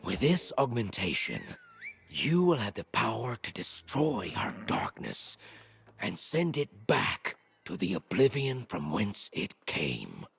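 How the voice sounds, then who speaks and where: An actor playing a grave, pious sage and mentor in a fantasy game, with formal, portentous delivery. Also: male voice, deep voice, creaky, a bit bright, heavily acted. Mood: solemn, satisfied. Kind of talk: scripted fantasy video game dialogue. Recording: faint music behind the voice.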